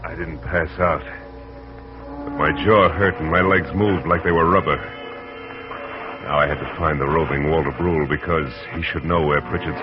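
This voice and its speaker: An actor playing a tough, wry private detective who narrates and talks in a clipped, sardonic way in an old radio drama. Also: male voice, very deep voice. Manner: hard-boiled, clipped, sardonic. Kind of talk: 1940s radio acting. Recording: dull old radio recording with music.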